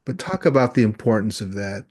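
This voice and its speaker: A man's voice: on the low side, sing-song, brisk, darkish tone. A man hosting an interview show, asking a guest questions with friendly short reactions. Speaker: podcast host.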